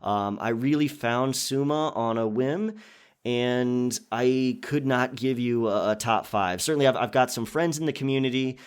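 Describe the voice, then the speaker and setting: A man's voice: medium pitch, moderate pace, clear, resonant tone. Man chatting casually to his listeners. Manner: conversational, chatty. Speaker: audio roleplay creator and channel host.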